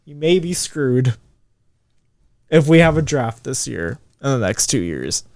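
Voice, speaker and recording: A man in his twenties co-hosting a casual podcast chat, swearing freely, his voice jumping high when he gets indignant. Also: very animated, unhurried, darkish tone, dry recording. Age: 20s. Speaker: podcast co-host.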